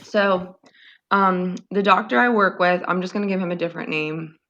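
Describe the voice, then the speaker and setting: A hoarse woman with a low, gravelly voice tells a long dream story in loose, rambling chat. The sound is dull and lo-fi, like a budget podcast mic. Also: fairly even intonation, normal speed, fairly bright tone. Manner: laughs often, rambling storyteller. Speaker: podcast co-host. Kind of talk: casual chat, telling a dream.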